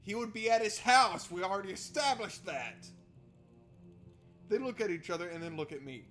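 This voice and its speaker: A man's voice: high voice, lively intonation, moderate pace, fairly bright tone. A man doing let's play commentary on a visual novel, reading narration aloud and heckling it in a sarcastic, exasperated, sweary way. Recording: music behind the voice. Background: game music.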